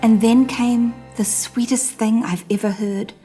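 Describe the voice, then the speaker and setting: A woman's voice: a little high, steady tone, a little slow, music in the background, close to an everyday voice. A woman voicing a dramatic, anxious little male hamster telling his diary in a children's storybook. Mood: frightened, dramatic. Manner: melodramatic storytelling with hushed suspense and emphatic exclamations.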